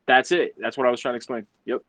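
A man's voice: mid-range voice, steady tone, fast, a bit bright, no room sound. Rambling young man with a dry sound. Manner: rambling, profane, stumbles and repeats words. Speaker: podcast host. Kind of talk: casual group chat on a video call.

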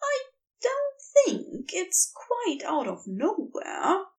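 A woman voicing a gentle, nervous male angel in an audio story, speaking softly, carefully and hesitantly. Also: extremely high pitch, huge pitch swings, drawn-out words, distant mic, pitched above the performer's own voice, exaggerated voice. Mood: slightly cross.

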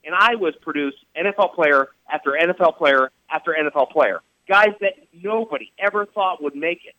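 A man's voice: a little high, fairly quick, dry recording. A man hosting sports radio talk, emphatic and repetitive, firing off rhetorical questions. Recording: dull radio studio sound, dry.

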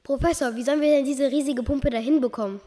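A woman's voice: high-pitched, fast talker, heavy background noise, lightly acted. A young schoolgirl playing an obedient, questioning male assistant to a professor in a school radio play. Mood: puzzled. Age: child or preteen. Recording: music behind the voice.

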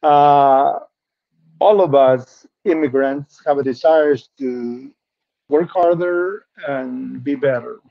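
A man's voice: medium pitch, takes it slowly, vocal fry. A middle-aged man from Peru with a Peruvian Spanish accent, a native Spanish speaker, earnestly telling his life story as an interview guest, often restarting and repeating words. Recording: muffled lo-fi audio.

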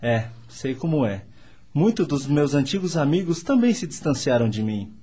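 Radio drama spiritualist friend , played by a man: excitable, formal, animated intonation, fairly high voice, faint noisy ambience. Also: moderate pace. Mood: friendly, polite. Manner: expressive, formal. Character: excitable, indignant young gentleman, devoted spiritualist friend. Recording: background noise, ambience effects.

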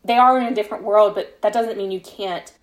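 A woman's voice: mid-range voice, fairly even intonation, brisk. A woman speaking as a guest author in a casual interview, explaining her writing in a reflective, conversational way. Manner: reflective, explains at length with frequent "you know" and "like".

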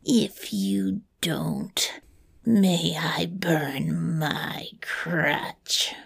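A woman voicing a vengeful, ugly, ancient fairy who threatens in slow, drawn-out, half-whispered words in a storybook reading. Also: lowish voice, vocal fry, husky, exaggerated voice. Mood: menacing.

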